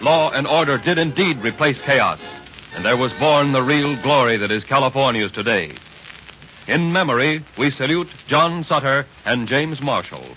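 A man's voice: mid-range voice, bright, forceful tone. A man narrating in a grand, declamatory 1930s dramatic radio style. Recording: muffled, hissy 1930s radio recording.

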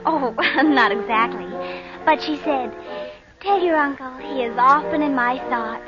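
Excitable teen girl in vintage radio serial, high voice, muffled w music behind. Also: unhurried. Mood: teasing, excited. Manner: girlish, earnest, excitable. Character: eager, romantic teenage daughter. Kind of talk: 1940s radio acting. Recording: dull old radio recording with music.

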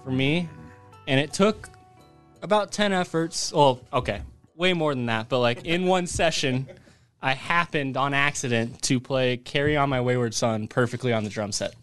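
A man's voice: mid-range voice, moderate pace, fairly bright tone, soft background music. A man hosting a casual podcast, joking around and laughing often with his co-hosts. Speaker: podcast host.

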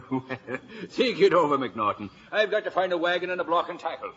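A courteous police inspector in old radio drama. His voice is very raspy and lively in pitch, slightly high, and the broadcast sounds muffled. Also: fairly quick, full character voice. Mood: elated. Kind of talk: 1950s radio acting.

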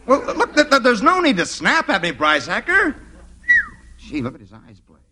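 An actor playing a needling, jealous advertising office rival, wisecracking in a mock-casual way in a 1950s radio comedy. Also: male voice, very high-pitched, wildly swooping pitch, normal speed, sharp tone, exaggerated voice. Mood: sly, needling. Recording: very noisy old radio recording.

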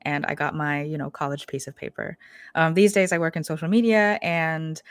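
A young woman from Portland talks through her answers in a loose, chatty way, full of fillers and little laughs. Her voice sits low, and the sound is dry and close, with no room around it. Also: steady tone, moderate pace, clear, resonant tone, no room sound. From Portland, Oregon, US. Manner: chatty, many ums and likes, laughs easily. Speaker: guest, social media creator. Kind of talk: casual interview answers.

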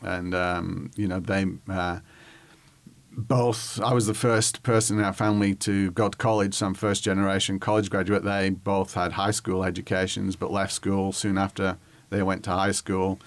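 A man in his forties with a Northern English accent, talking thoughtfully and haltingly as he recalls his background in an interview. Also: low-pitched, flat delivery. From northwest England, near Manchester. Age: 40s. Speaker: interview guest, history professor. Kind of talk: conversational interview, recollection.

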